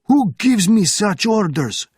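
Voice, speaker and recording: Guy doing a frantic soviet gate officer, screeching way up high, husky edge. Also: big pitch swings, slow, darkish tone, no room sound, clean studio sound, full character voice. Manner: frantic, high-pitched screaming. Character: panicked Soviet male gate officer, shrieking.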